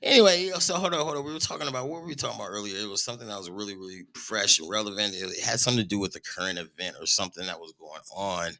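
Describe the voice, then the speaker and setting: A man hosting a casual podcast, talking loosely and profanely with lots of restarts and animated tangents. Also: lowish voice, rapid-fire, few pauses, no room sound, rough recording. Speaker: podcast host. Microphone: studio podcast mic.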